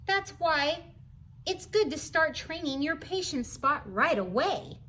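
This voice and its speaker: High, bright woman reading to children. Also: slight background noise. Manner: teacherly, encouraging, expressive, asks the listener questions. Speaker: storybook reader for children. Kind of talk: children's read-aloud with chatty asides.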